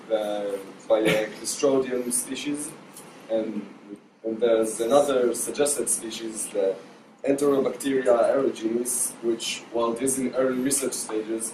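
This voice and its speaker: A young man from Israel explains the science in a student presentation, hesitating often with ums and restarts. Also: mid-range voice. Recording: echoey room, distant mic, noise.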